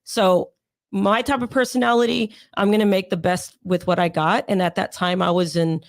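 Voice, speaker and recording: A young adult woman, hoarse and raspy from sinus pressure, chatting as an interview guest in a rambling way with many fillers. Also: mid-range voice, steady tone, moderate pace, dry recording, clean studio sound. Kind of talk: casual interview storytelling.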